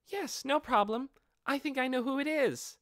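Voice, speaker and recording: A man voicing a young dark elf girl in an audiobook, an eager, loyal beast tamer who speaks perkily and with deference to her master. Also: very high-pitched, normal speed, dry recording, studio quality, pitched above the performer's own voice. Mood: eager, helpful. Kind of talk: audiobook character voice.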